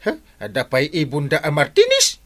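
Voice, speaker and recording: A man's voice: fairly high pitch, huge pitch swings, normal speed, a bit bright, heavily acted. A man with an Ilocano accent playing a grumbling, exclamatory village captain in a radio comedy drama. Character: grumbling, excitable male village captain, comic.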